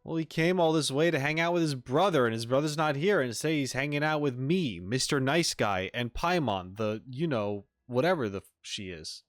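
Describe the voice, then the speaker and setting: A man's voice: mid-range voice, lively intonation, moderate pace, hardly any pauses, no echo. A man giving casual, slangy gameplay commentary as a streamer in an offhand manner. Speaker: video game streamer.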